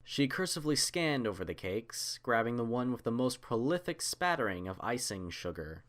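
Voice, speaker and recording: A man's voice: mid-range voice, sing-song, moderate pace, fairly bright tone. A man reading scripted fiction as an audiobook narrator.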